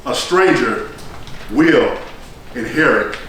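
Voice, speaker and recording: A man performing a theatrical monologue like a rousing speech, solemn and emphatic, with deliberate dramatic pauses. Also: mid-range voice, animated. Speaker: stage performer delivering a monologue. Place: echoey performance space, recorded from a distance. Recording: roomy echoing hall, distant mic, faint noise.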